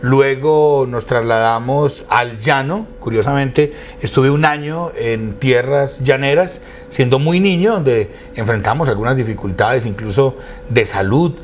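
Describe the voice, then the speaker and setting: A man's voice: mid-range voice, measured pace, hardly any pauses, slight background noise. A man from Quindío with a Colombian accent, telling stories as an interview guest in formal, eloquent, elaborate phrases. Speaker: interview guest, academic and radio station director. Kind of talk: conversational storytelling in an interview.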